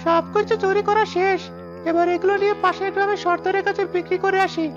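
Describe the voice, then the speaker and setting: Woman acting a very high-pitched sly cartoon thief. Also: steady tone, fast. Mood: sly, smug. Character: sly, boastful village thief, male, in a ghost cartoon. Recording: muffled lo-fi track under loud music.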